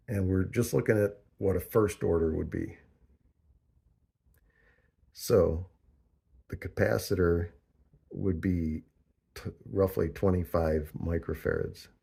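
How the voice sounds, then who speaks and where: A man with a low, creaky voice talks through a do-it-yourself tutorial. His tone is dark and mellow, and he speaks casually and deliberately, pausing as he thinks aloud. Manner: deliberate, halting, working through figures aloud. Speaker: host of a DIY audio tutorial.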